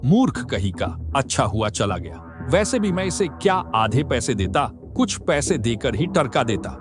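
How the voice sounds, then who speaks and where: A man voicing a greedy, scheming farmer in a cartoon moral story, theatrical and quick to turn scornful. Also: mid-range voice, big pitch swings, natural voice. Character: greedy, scheming male farmer and father, arrogant when crossed. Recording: loud background music.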